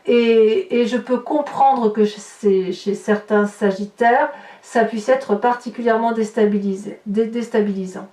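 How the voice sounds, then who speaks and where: Woman, highish pitch, expressive tarot reader, direct address to viewers, strong room echo. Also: unhurried. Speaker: tarot reader hosting a horoscope video. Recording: roomy echo, distant microphone.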